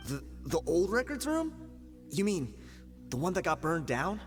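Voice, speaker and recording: An actor playing a cornered, shifty rank-and-file bandit in a video game, blustering and evasive. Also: male voice, high voice, very animated, unhurried, big-room sound, faint music behind. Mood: nervous.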